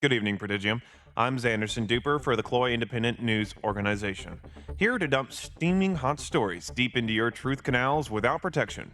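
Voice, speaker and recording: A man's voice: medium pitch, fairly quick, fairly bright tone, lightly acted. A man playing a smug, irreverent news anchor in a video game parody newscast, with a polished but snide announcer delivery. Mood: slick, snide.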